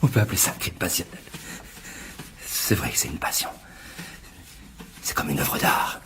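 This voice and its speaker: Unstable, apologetic killer, wildly swinging pitch. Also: male voice, big pitch swings, normal speed, noisy background. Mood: brooding regret. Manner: hesitant, repetitive, swinging from soft apology to frenzy. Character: disturbed male killer, apologetic, softly menacing, obsessive. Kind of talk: French radio thriller acting. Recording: music behind the voice.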